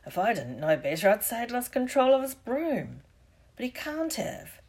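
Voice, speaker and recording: A woman voicing a big, friendly, protective man in an audiobook, with rough, colloquial speech and dropped words. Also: on the high side, very animated, normal speed, darkish tone, pitched above the performer's own voice. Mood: indignant, concerned.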